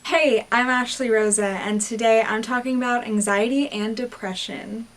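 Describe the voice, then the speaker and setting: A woman's voice: a little high, fairly even intonation, moderate pace, recorded from a distance, over music, rough recording. A young adult woman hosting her own video, talking in an earnest, chatty way and putting questions to her friends. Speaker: host and interviewer. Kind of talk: casual video narration.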